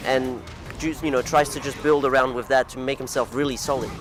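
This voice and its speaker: A man's voice: mid-range voice, fairly even intonation, a little fast. A man casually commentating a fighting game tournament, chatty and rambling, who laughs at his own clumsy phrasing; English is not his first language. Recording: music playing loudly behind.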